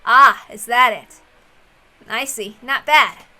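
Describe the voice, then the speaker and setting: A woman's voice: a little high, slow, pushed and bright, heavily acted, low-quality recording. A woman voicing a haughty witch in a visual novel, condescending and amused in manner. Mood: amused, intrigued.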